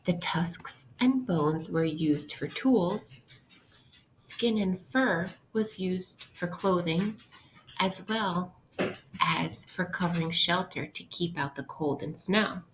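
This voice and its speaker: A woman with a slightly low voice reads aloud as a teacher would to young children. She takes her time, speaking very slowly and explaining in simple, instructional language. There is faint noise behind her. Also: low-quality recording. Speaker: elementary school teacher. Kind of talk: interactive read-aloud lesson for children.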